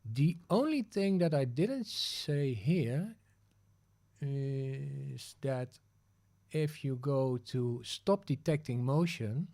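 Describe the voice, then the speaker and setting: A Dutch man hosts a relaxed livestream tutorial, explaining in English as he works through his screen. He thinks aloud with plenty of fillers and self-corrections, and his voice sits at an ordinary male pitch. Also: very slow, creaky. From Netherlands. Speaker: livestream host. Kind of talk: casual screen-share tutorial.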